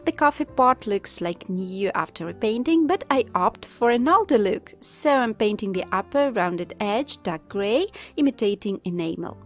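A woman calmly narrating a step-by-step craft tutorial as the channel host, in voice-over. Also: mid-range voice, moderate pace, hardly any pauses. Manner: calm, instructive. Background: soft background music.

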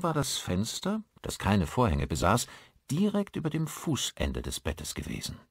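A man narrates a horror audiobook in a deep, somewhat raspy voice. His delivery is theatrical and suspenseful, with very lively intonation. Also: dry recording, clean studio sound. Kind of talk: dramatic scripted narration of a horror story.

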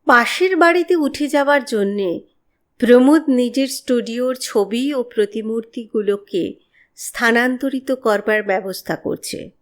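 A woman narrating a horror story in a measured, expressive, dramatic way. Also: a little high. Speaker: audiobook narrator of horror stories.

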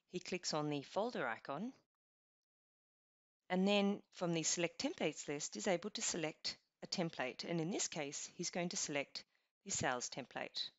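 A woman narrating a scripted software walkthrough, explaining each step in an even, instructional way. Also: fairly low pitch, normal speed, no room sound. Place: voice-over over screen recording. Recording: dull lo-fi voice-over.

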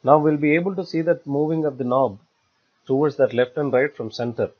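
A man giving a step-by-step software tutorial in a halting, repetitive way. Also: on the high side, steady tone. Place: voice-over for screen recording. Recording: dull, lo-fi screencast audio.

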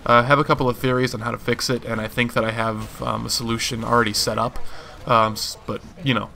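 Hoarse young man, bright voice. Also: medium pitch, fast talker. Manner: rambling, relaxed, many fillers. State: hoarse from allergies. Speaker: gaming channel host. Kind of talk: casual channel update talk.

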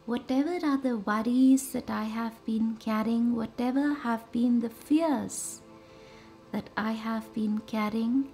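Female meditation guide, unhurried and mellow with a touch of fry, over a music bed. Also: on the high side, fairly even intonation. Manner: soothing, measured delivery in short pausing phrases. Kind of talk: guided meditation with slow, reverent visualisation.

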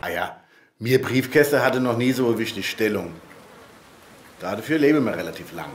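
Man acting a talking mailbox, rural German dialect, low voice, folksy, resigned, rambling, radio play, flies buzzing behind. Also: animated, normal speed, slight background noise, close to an everyday voice. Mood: wistful, chatty. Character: chatty rural mailbox, male, resigned, easygoing.

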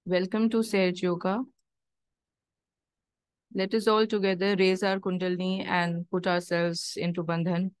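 A woman leads a guided meditation in a slightly low, very even voice. She gives simple instructions and prayers in short, measured phrases. The sound is close and dry, with long pauses between thoughts. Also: clean tone, no echo.

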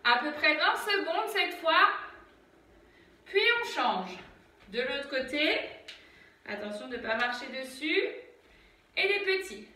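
A young woman leading a home workout, calmly counting reps and cueing breaths as she exercises in an attic room, recorded on a phone set far back. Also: high voice, slow, pauses often, very echoey. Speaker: fitness instructor. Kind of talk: guided home workout instruction. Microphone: phone camera from a distance.

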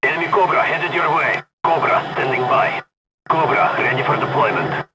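An actor playing a stern Soviet special forces commander in a video game, with a Russian accent and clipped, commanding delivery. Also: male voice, on the high side, moderate pace, heavy background noise, lightly acted. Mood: terse, urgent. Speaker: game announcer. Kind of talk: terse scripted military game announcements.

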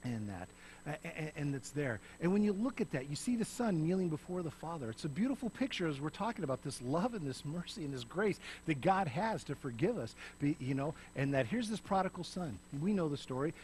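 A middle-aged man preaching on a church stage, swinging between loud emphasis and soft, hushed passages. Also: fairly high pitch, sing-song, fairly quick, a little hiss or hum, low-quality recording. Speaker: pastor. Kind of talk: sermon. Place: church stage, in front of a lit backdrop.

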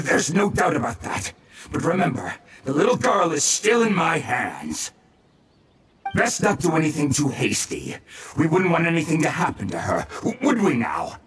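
A man's voice: fairly high pitch, fairly bright tone, a little hiss or hum, full character voice. A man voicing a menacing, hot-tempered masked bandit thug in a video game, threatening and mocking. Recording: roomy and distant game audio.

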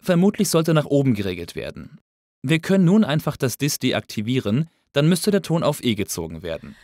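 A man narrating a scripted tutorial as an instructor, explaining things clearly and methodically. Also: mid-range voice, sing-song, a little fast, a little mellow, no echo, studio quality. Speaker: audio engineering instructor.

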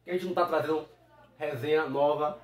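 A man's voice: fairly high pitch, steady tone, brisk. A middle-aged man talking casually to camera as host, in a small room. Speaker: channel host. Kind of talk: casual vlog introduction to camera. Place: small home room with computer, indoors. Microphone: camera a short distance away. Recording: roomy, slightly distant microphone.

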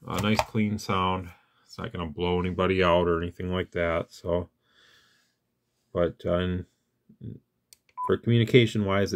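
A man hosting a casual hands-on radio gear demo, talking in a plainspoken, informal way. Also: low voice. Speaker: radio review host. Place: indoors at an equipment bench.

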